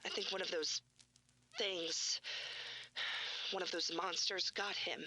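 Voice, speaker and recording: An actress playing a distraught, defiant widow, emotional and insistent, in a found-footage horror drama. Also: female voice, on the low side, fairly even intonation, takes it slowly, bright, forceful tone, full character voice, poor audio. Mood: accusing, distraught.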